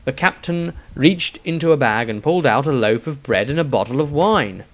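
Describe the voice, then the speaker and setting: A male narrator tells a scripted fantasy story. His voice sits at an average pitch for a man. The delivery is solemn and theatrical, that of an audiobook storyteller. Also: animated, normal speed. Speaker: author narrating own story.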